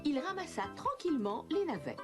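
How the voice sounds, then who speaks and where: A woman's voice: high-pitched, wildly swooping pitch, brisk. A woman narrating a scripted French children's fairy tale in storybook style. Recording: clear music behind the voice.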